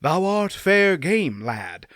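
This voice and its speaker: A man voicing a chivalrous, formal-spoken knight, a little raised and bright. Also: high voice, sing-song, slow, no room sound, studio quality, pitched above the performer's own voice, heavily acted. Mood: earnest. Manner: old-fashioned formal speech. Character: boastful chivalrous male knight, formal, archaic.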